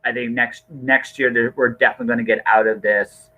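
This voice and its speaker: A man's voice: medium pitch, steady tone, brisk. A man hosting a casual podcast conversation, often repeating and rephrasing himself as he thinks aloud. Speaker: podcast host. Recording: thin, band-limited phone-like call audio.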